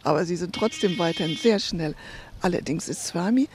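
A woman interviewing a guest, asking questions quickly and lightly. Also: on the low side, over music.

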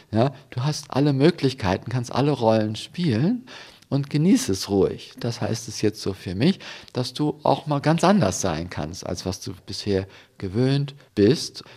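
A man's voice: mid-range voice, a little mellow. A man giving an informal spiritual reflection as a guest, explaining step by step and often checking for agreement with small tag questions. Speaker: interview guest, spiritual devotee.

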